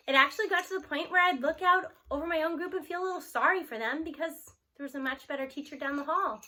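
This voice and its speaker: A woman narrating a storybook aloud in an expressive first-person read-aloud style. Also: high voice.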